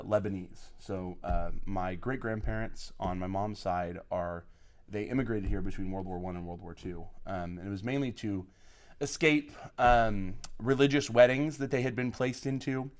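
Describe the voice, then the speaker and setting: A podcast guest from Oklahoma tells his life story with eager, effusive enthusiasm. His voice sits a little low, and his sentences are dotted with fillers. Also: a little slow. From Oklahoma, USA. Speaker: podcast guest, college program lead. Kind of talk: podcast interview, personal storytelling.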